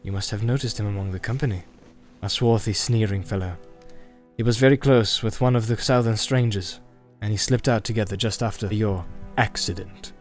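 Guy doing a secretive wandering ranger, lowish voice, grim n wry, fantasy audiobook. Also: faint music behind, lightly acted. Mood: warning, grave. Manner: deliberate, cautioning, wry.

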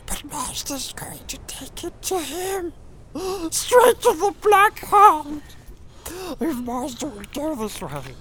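Wretched sly creature, whining and wheedling, hissing whispers, high gravelly voice, slow, music behind. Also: female voice, a bit bright, heavily acted. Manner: wheedling, whining, swinging wildly from shrieks to whispers. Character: wretched groveling creature, pleading, fearful and sly. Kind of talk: dramatized audiobook acting. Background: background music.

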